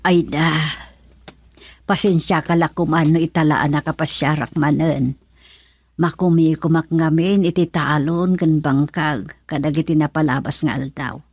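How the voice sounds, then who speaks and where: A woman's voice: low-pitched, fairly even intonation, measured pace, a little mellow, breathy edge, no echo, exaggerated voice. A woman playing a kindly, caring rural mother in an Ilocano radio drama, with a Filipino accent. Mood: apologetic, caring.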